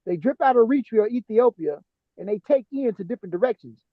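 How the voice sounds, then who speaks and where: A man's voice: high-pitched, expressive, fast talker, no room sound, narrow, tinny audio. A man co-hosting a casual podcast talk, eagerly working through genetic ancestry terms with many restarts.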